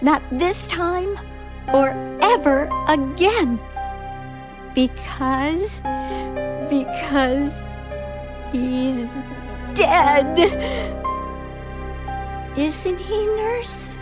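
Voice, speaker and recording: Music plays behind a high, slow-speaking woman, frightened and coming unhinged. She is halting and dramatic, circling back over her phrases as in a suspense radio play. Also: expressive, heavily acted. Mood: giddy, unhinged triumph. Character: frightened, unhinged woman, now giddy and triumphant. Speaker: actor.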